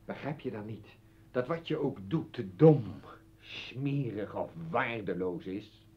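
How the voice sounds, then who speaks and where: An actor in a Dutch radio play, playing a contemptuous, educated man with a husky, breathy voice and a scornful, deliberate delivery. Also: medium pitch, expressive. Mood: cold contempt. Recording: dull old radio drama recording.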